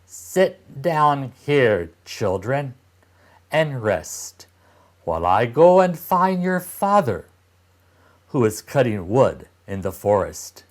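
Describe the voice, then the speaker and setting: A man's voice: mid-range voice, big pitch swings, takes it slowly. A man voicing a cold, scolding stepmother in a storybook read-aloud, commanding and emphatic. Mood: brisk commanding.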